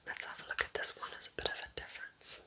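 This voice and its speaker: Whispering woman, breathy ASMR book chat. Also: rapid-fire. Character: kind witch welcoming a visitor to her cabin. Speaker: ASMR roleplay host.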